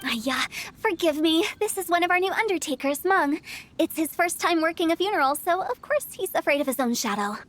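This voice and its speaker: A quirky young funeral director from a video game. Her voice is very high and girlish, with a breezy, playful sales patter and a gleefully morbid streak. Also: expressive, fairly quick, a bit bright. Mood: amused. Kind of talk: animated video game voice acting.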